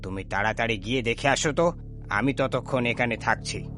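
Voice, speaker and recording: A man's voice: medium pitch, normal speed, natural voice. A man voicing a commanding, villainous bandit leader in a cartoon story. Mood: impatient. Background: loud music.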